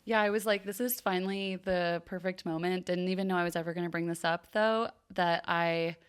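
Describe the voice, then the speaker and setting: A woman's voice: lowish voice, fairly even intonation, brisk, clean tone. Casual, chatty woman podcaster. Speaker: podcast co-host. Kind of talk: casual chat.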